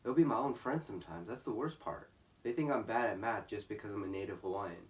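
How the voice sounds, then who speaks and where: A sixteen-year-old boy from California speaking earnestly to camera as a student, in a measured, candid way. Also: fairly low pitch. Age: teens. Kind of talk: speaking to camera for a school video. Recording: distant mic, faint music behind.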